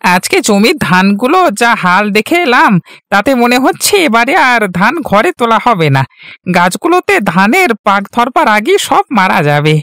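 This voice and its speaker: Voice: medium pitch, big pitch swings, moderate pace, pushed and bright, dry recording, clean studio sound. An actor playing a worried, careworn farmer husband who laments his lot in a children's cartoon. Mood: worried, despairing. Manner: downcast, lamenting. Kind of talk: Bengali children's cartoon voice acting.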